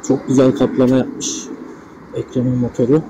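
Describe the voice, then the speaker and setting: A man's voice: medium pitch, completely flat delivery, normal speed. A man giving casual motorcycle vlog commentary as the host, recorded on an action camera. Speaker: motovlogger, host. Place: outdoors among parked motorcycles and on the road. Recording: noisy action camera recording, street and traffic.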